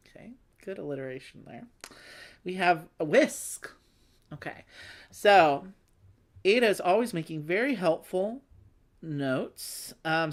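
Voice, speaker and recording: Animated, low-pitched woman, playful and wry. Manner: playful, wry joking asides. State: slightly phlegmy. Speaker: host of a board game play-along livestream. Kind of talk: casual chat with read-aloud game text.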